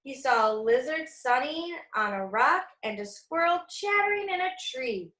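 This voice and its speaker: A woman reading a children's picture book aloud as a storyteller, expressive and teacherly, with asides to young listeners. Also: sits high, normal speed. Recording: echoey room.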